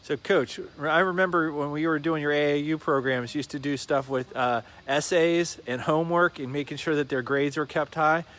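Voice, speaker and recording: Slightly high-voiced male livestream interviewer. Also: steady tone, normal speed, few pauses, fairly bright tone. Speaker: interviewer, real estate agent. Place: sports academy gym.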